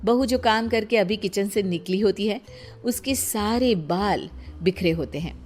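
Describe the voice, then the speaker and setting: A woman's voice: medium pitch, unhurried, darkish tone, soft background music. A woman narrating a scripted cartoon story.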